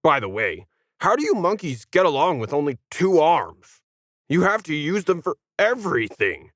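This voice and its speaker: Man acting a whiny alien, condescending, sarcastic, lively swooping pitch, dry close sound, science fiction story. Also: normal speed, dry recording. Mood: smug, mocking. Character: smug whiny tentacled alien, sneering at humans.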